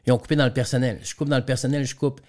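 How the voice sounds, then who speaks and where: A man's voice: mid-range voice, steady tone, racing, a little mellow. A man from Quebec with a Quebec French accent, a guest trainer and speaker explaining business examples in a radio interview, often repeating words as he goes.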